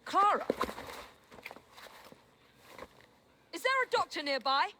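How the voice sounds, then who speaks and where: A woman's voice: extremely high pitch, big pitch swings, fast, bright, forceful tone, a little hiss or hum, full character voice. A woman playing a poised, practical, kindly young Victorian adventurer in a game cutscene, polite and direct. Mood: concerned. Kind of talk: video game cutscene acting.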